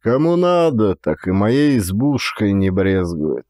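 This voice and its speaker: A man voicing a sedate, dignified and mighty peasant in an audiobook, with a drawn-out, staid delivery. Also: fairly low pitch, big pitch swings, mellow, no echo, clean studio sound, voice pushed down. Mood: unhurried, staid.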